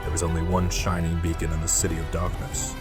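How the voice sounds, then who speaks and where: A man's voice: low voice, monotone, fast talker, recorded from a distance, loud music behind the voice. A man giving dramatic scripted narration as the narrator of an audio drama.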